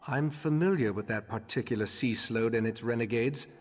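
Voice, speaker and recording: A man playing a grave, scholarly leader of a mage order in a video game, speaking in a measured, formal way. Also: medium pitch, natural voice. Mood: grave, pondering. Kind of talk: scripted video game character dialogue. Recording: long echoing hall reverb.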